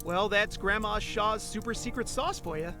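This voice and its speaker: A man's voice: high-pitched, normal speed, close to an everyday voice. A man playing an earnest, playful, lovestruck ordinary young guy in an indie audio drama, with a theatrically joking manner. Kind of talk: indie audio drama acting with first-person narration. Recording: music under the voice. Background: music.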